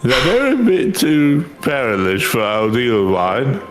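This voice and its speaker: A man's voice: mid-range voice, wildly swooping pitch, takes it slowly, faint music behind. A man voicing a tipsy, stubborn, down-on-his-luck treasure hunter from a game, with a theatrical, colloquial drawl. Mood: wheedling. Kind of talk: reading game character dialogue aloud.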